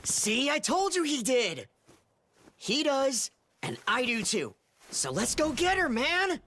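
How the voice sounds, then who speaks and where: A man's voice: extremely high pitch, takes it slowly, a little hiss or hum, exaggerated voice. A man voicing a brash, loyal teenage boy in a video game, slangy and eager. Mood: urging. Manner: casual, excitable, slangy. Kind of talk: anime-style video game voice acting. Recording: loud game music behind. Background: game soundtrack music.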